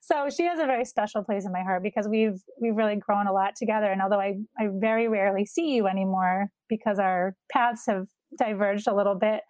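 A woman in her forties hosting an interview, introducing her guest in a warm, heartfelt and deliberate way. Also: medium pitch, dry recording, low-quality recording. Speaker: host.

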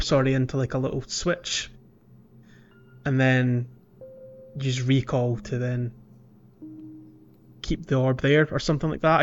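A man hosting a casual video game playalong podcast, explaining things in a tentative, hesitant way. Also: medium pitch. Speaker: podcast host. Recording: slightly dull audio, music bed. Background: background music.

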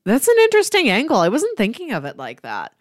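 Animated young woman chatting about film. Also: fairly high pitch, quick pace, no room sound, clean studio sound. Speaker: podcast co-host. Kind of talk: casual film discussion.

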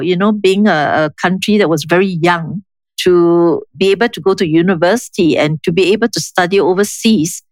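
An older woman with a Singaporean accent, speaking in a measured, reflective, explanatory way as an interview guest. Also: lowish voice, no room sound. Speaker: interview guest, urban planning official. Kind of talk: conversational interview, reminiscing.

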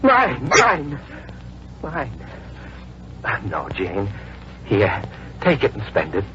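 A man playing a weary, anguished farmer who speaks haltingly and with distress, in 1940s radio drama style. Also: medium pitch, huge pitch swings, full character voice. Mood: possessive, agitated. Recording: muffled, noisy old radio broadcast.

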